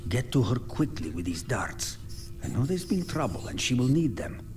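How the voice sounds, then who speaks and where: An actor playing an earnest, eccentric scientist in a video game cutscene, urging and deliberate in his speech. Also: mid-range voice, very animated, far from the mic, music in the background, close to an everyday voice. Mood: urgent, cautioning.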